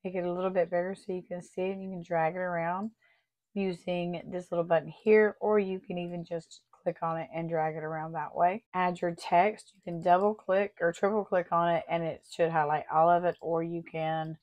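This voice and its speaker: A woman hosting a craft tutorial, her voice still a little raspy as she recovers, talking in a friendly, chatty way and explaining each step. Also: fairly low pitch, steady tone, moderate pace, darkish tone, muffled recording. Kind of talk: casual tutorial walkthrough.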